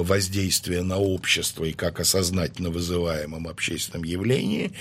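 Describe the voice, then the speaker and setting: Man, guest historian, husky tone, measured explanatory delivery with hesitant pauses. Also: deep voice, fairly bright tone. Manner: measured, lecturing with frequent hesitations. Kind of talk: explanatory interview talk.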